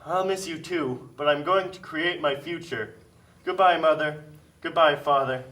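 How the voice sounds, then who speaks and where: A man acting as a resolute young son in a puppet show. The voice is highish and bright, earnest, and a little off the mic. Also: unhurried, recorded from a distance, rough recording. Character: determined young son leaving a poor plantation family.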